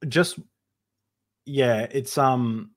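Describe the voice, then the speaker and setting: A man's voice: mid-range voice, extremely slow. A man hosting a casual podcast conversation, talking hesitantly with frequent restarts and fillers. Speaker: podcast host.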